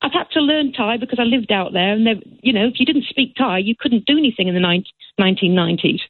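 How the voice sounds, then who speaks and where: A woman's voice: fairly high pitch, animated, fast, no echo. A woman calling in to a talk show and making her point with emphatic passion. Her voice comes through a dry, tinny phone line. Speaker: caller. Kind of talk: phone-in call.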